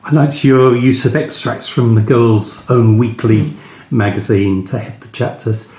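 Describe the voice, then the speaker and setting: A man's voice: mid-range voice, a little slow, mellow. A man interviewing an author conversationally, phrasing his questions a little tentatively. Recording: echoey room.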